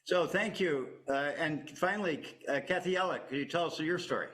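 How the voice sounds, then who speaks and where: A man moderating a virtual science panel over a video call, speaking in a measured way with frequent pauses and fillers. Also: on the high side, a bit bright.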